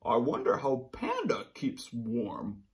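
A friendly, wistful polar bear from a picture book, read by a man, with a lively voice that lifts and dips. The words are expressive and stretched out for small children. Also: mid-range voice, takes it slowly, heavily acted. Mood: wondering.

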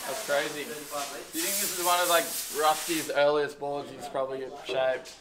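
A young man with a fairly high voice gives a casual, enthusiastic tour. His talk is loose and slangy, and other voices are clearly audible around him. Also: fairly even intonation, normal speed, a crowd in the background, low-quality recording. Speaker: surfboard factory tour guide. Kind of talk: casual chat. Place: indoor surfboard factory.

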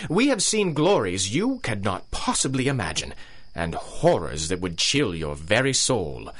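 Pompous colonel, wildly swooping voice. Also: male voice, fairly low pitch, huge pitch swings, unhurried, a bit bright, exaggerated voice. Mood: grandiose menace. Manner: pompous, theatrical, genteel menace. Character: pompous British colonel, big-game hunter villain, coldly courteous. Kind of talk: radio drama acting.

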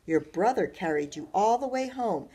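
A woman reading aloud as a loving old grandmother who speaks softly and slowly to her grandchildren. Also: mid-range voice, expressive, husky, voice pushed up. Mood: tender. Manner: soft, slow and tender.

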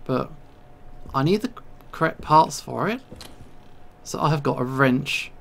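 A man giving casual let's play commentary, thinking aloud in short, halting remarks. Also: mid-range voice, lively intonation, creaky, a bit bright, a little hiss or hum. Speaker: video game player and host.

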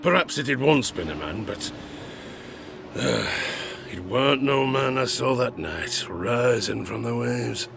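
Gravelly, theatrical old sailor. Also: male voice, medium pitch, expressive, a little slow, background noise. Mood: grim, dramatic. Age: older. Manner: salty sailor's dialect, chuckling, theatrical asides. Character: grizzled old harpooner spinning a tavern sea tale, boastful, haunted. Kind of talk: dramatic audio drama storytelling.

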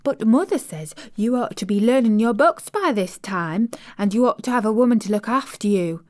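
A woman's voice: fairly high pitch, moderate pace, pitched above the performer's own voice, natural voice. A woman voicing a cheerful, chatty Yorkshire servant girl in broad dialect, for an audiobook. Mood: earnest. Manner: broad dialect, lively and excited.

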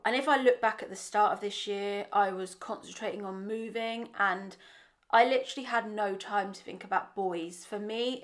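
A young woman speaking earnestly to camera from a bright bedroom, her voice a touch croaky. She talks in a candid, reflective way, restarting a phrase now and then as she thinks, into a microphone on the desk in front of her. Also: medium pitch. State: slightly croaky voice. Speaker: vlogger. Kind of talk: casual reflective monologue to camera.

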